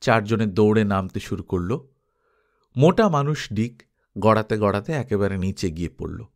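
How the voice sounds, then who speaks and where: A man narrating an adventure story as an audiobook, in an expressive, suspenseful, dramatic style. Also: on the low side, moderate pace.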